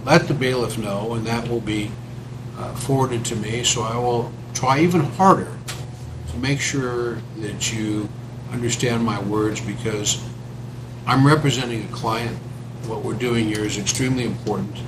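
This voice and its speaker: A man on a panel giving careful, deliberate advice to an attorney. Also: medium pitch, noisy background. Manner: joking, then slow and deliberate when advising.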